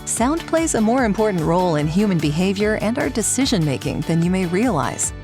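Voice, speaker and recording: A woman's voice: medium pitch, very animated, a little fast, music in the background. A woman speaking as a podcast host and interviewer. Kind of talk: scripted podcast introduction, then interview.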